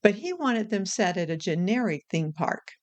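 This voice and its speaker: A woman's voice: mid-range voice, sing-song, normal speed. A woman hosting a casual how-to vlog, talking in an informal, frank, chatty way. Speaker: video creator and host.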